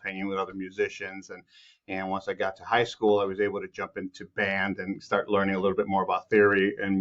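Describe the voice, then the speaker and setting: A man's voice: fairly low pitch, highs cut off. A man from Chicago, a composer guest, answering interview questions in a rambling way with many restarts.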